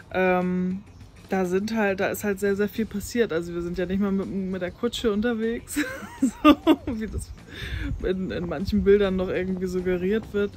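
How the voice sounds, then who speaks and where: A woman's voice: lowish voice, slight background noise. A woman, a farm life blogger talking casually outdoors on a farm, with many fillers and restarts. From North Frisia, northern Germany. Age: 30s. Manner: chatty, with many fillers and restarts, laughs easily. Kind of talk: casual interview talk. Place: outdoors on a farm lawn by a brick farmhouse.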